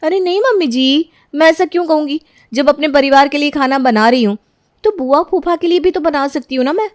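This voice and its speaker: A woman voicing a careless, defensive young wife in an animated story. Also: high-pitched, a little fast, no echo, clean studio sound, voice pushed up, lightly acted. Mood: sweet, innocent. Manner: defensive, argumentative.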